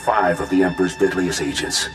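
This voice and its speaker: A man's voice: deep voice, brisk, natural voice. A man playing a smug, menacing renegade commander in a video game, mocking and self-righteous. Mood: bitter, righteous. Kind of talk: video game cutscene acting. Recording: roomy echo. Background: music.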